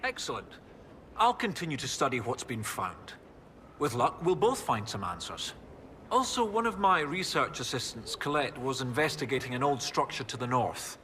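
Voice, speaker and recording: An animated, bookish professor. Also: male voice, medium pitch, moderate pace, slight background noise. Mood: absorbed, explanatory. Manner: pedantic, eager explaining with puns. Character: enthusiastic, bookish male research professor and archaeologist. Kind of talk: video game dialogue acting.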